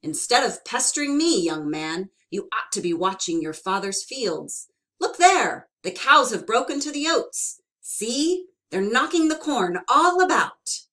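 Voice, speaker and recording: A woman's voice: a little high, very animated, measured pace, a bit bright, voice pushed up, rough recording. A woman voicing a tiny, sly old male leprechaun shoemaker who scolds shrilly and wheedles. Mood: scolding.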